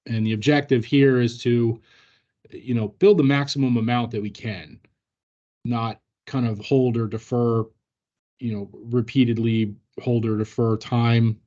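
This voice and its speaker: Male training presenter with a mellow, mid-range voice, explaining procedure informally and somewhat haltingly. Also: mid-range voice, fairly even intonation, lo-fi sound.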